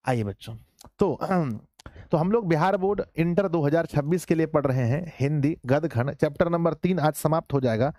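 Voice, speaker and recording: A slightly hoarse man runs a casual online lesson, joking with his students and calling them children. His voice sits a bit high and sounds close and dry, with no room around it. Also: moderate pace, warm tone, no echo. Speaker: online Hindi teacher. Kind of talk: casual live class lesson.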